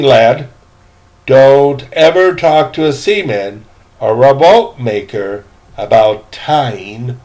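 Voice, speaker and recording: A man voicing a roly-poly seaman in a storybook, a patient and pedantic rope expert who lectures with deliberate emphasis. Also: mid-range voice, a little mellow. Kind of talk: acted storybook dialogue.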